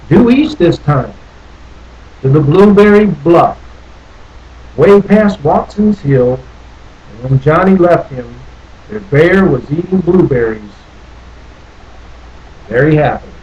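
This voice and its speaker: A man's voice: on the high side, expressive, takes it slowly, pauses often, a little mellow, lo-fi sound. A man reading a children's story aloud expressively, theatrically lingering over phrases. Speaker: storybook reader. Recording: noticeable background noise.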